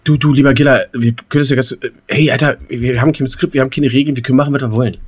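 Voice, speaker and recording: A man's voice: mid-range voice, steady tone, racing, slightly rough. A man co-hosting an unscripted podcast in colloquial, jokey banter, often repeating the start of his phrases. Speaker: podcast co-host.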